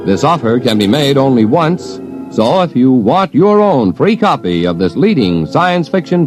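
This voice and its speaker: An American man giving a scripted 1950s radio promotional announcement in a formal, deliberate manner. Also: medium pitch. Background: faint music.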